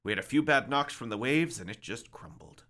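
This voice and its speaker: A man voicing a grave, quiet, philosophical older lighthouse keeper in a storybook reading. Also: mid-range voice, animated, brisk, voice pushed up, close to an everyday voice.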